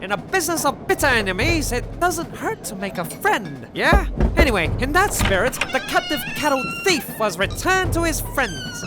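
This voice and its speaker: A woman's voice: sits high, huge pitch swings, moderate pace, hardly any pauses. A woman playing a chatty, wry storyteller recounting gangster exploits in a scripted audio drama. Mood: wry, eager. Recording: music under the voice. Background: music.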